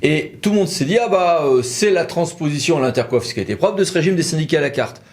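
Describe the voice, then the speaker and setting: A man's voice: on the high side, lively intonation, quick pace. A man presenting a legal explainer in a conversational, didactic way, thinking aloud through rhetorical questions.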